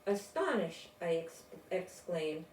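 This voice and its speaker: An older woman reading a children's storybook aloud in a deliberate, expressive way, with informal asides. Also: fairly low pitch. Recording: roomy, distant mic, faint noise.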